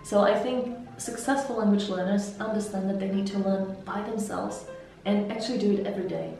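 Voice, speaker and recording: A woman from Slovakia with a Slovak accent, an interpreter and language mentor, giving measured, explanatory interview answers. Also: medium pitch. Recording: echoey room, heard from some distance, soft music.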